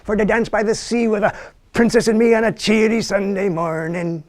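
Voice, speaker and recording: Brief: smooth-talking storybook frog, played by a man, high and chirpy. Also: steady tone, moderate pace, darkish tone, close to an everyday voice. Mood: wheedling. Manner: wheedling, sing-song patter. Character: cheeky bewitched talking male frog.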